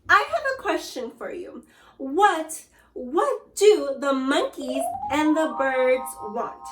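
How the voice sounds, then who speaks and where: A woman's voice: sits high, a bit bright, echoey room. A woman teacher reading a storybook aloud to young students and asking them questions in an encouraging way. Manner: encouraging, addresses class as scholars. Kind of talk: class read-aloud and lesson.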